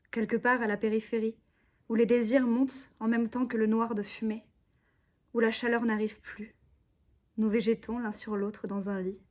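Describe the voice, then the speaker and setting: A woman's voice: mid-range voice, a little mellow. A young woman, a conservatory student, giving a recitation of literary poetry. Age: young adult.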